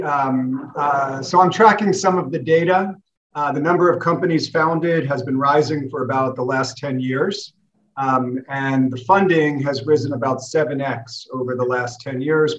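Forties guy, kinda high voice, measured, lots of ums, dull lo-fi video call. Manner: measured, data-driven, many uh and um pauses. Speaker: startup founder. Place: office room.

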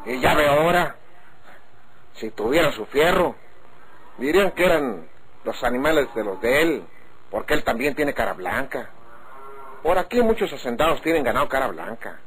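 Folksy cattle seller, animated. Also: male voice, mid-range voice, normal speed, long pauses. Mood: frank, explaining. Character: plain-spoken country cattle seller, man, frank and folksy. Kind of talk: golden-age Mexican radio drama acting. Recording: noisy old radio drama recording.

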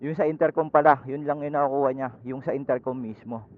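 A man's voice: mid-range voice, steady tone, fast talker, no echo. Man chatting through a lo-fi helmet intercom. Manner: rambling, loose and chatty with fillers. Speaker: motovlogger. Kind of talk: casual how-to vlog, chatting while riding. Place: outdoors on a motorcycle. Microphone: helmet intercom headset. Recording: dull lo-fi intercom audio.

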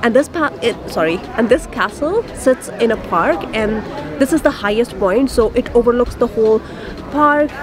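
A woman's voice: fairly high pitch, expressive, normal speed, crowd noise. A young adult woman talking casually as a travel vlogger. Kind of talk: casual travel vlog chat and narration. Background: loud background music.

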